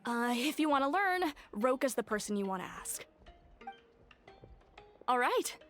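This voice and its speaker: A young female shopkeeper in a video game, eager and easily flustered. Her voice is high and very animated, keen to help. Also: measured pace, soft background music, exaggerated voice. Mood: helpful.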